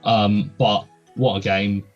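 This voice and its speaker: A man's voice: lowish voice, steady tone, takes it slowly, muffled recording, soft background music. A young man hosting a casual podcast, chatty and full of ums and uhs. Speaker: podcast host.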